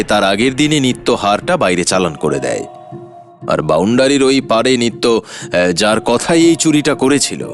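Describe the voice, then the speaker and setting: An actor playing a shrewd, confident detective in a cartoon mystery, explaining things in a sly, theatrical way. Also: male voice, mid-range voice, natural voice. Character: shrewd, confident male detective revealing the culprit. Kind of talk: animated detective story acting.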